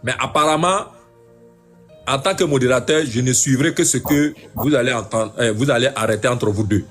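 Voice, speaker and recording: A man moderating a live-stream debate, speaking in a measured, hesitant way. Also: mid-range voice, faint music behind.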